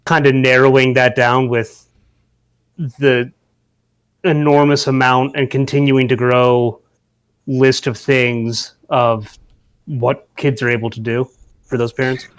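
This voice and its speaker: A man hosting a casual podcast chat, asking questions in an unhurried way and throwing in jokey asides. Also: mid-range voice, flat delivery, clear, resonant tone, poor audio. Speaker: podcast host.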